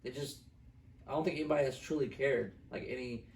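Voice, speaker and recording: Gravelly-voiced man, roomy and distant. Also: medium pitch, fast talker. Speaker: film reviewer, co-host. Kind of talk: casual opinionated movie review chat. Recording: roomy echo, distant microphone.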